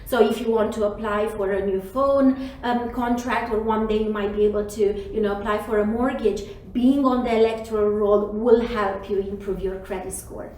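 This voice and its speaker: A woman's voice: medium pitch, steady tone, moderate pace, hardly any pauses, a little mellow, very echoey, distant mic, rough recording. A woman from Romania, a city official, answering interview questions in an earnest, campaigning way.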